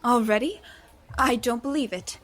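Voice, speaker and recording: An actress playing an anxious, principled young heroine in an audio drama, hesitant and cautious. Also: female voice, a little high, a bit bright. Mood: flustered. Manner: hesitant, halting.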